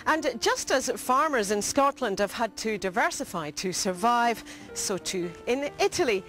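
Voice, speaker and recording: A woman's voice: fairly high pitch, lively intonation, a little slow, a bit bright. A middle-aged woman presenting a travel show, narrating in a polished, upbeat way. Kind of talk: scripted TV narration. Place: outdoors in an alpine village. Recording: music bed under voice.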